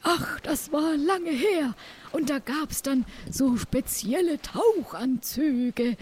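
A woman's voice: high voice, slow pace, darkish tone, slight background noise. A woman improvising a helpful veteran pirate in an audio drama, reminiscing in a thoughtful, hesitant way.

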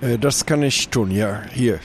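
A man's voice: medium pitch, a little slow, a little hiss or hum, lightly acted. A man playing an obliging, careful pirate companion in an improvised audio adventure. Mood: helpful. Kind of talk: improvised audio role-play.

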